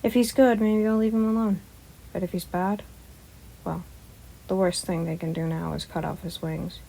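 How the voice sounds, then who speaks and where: Expressive woman reading a story aloud. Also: lowish voice, normal speed, vocal fry, poor audio. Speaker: fan fiction narrator.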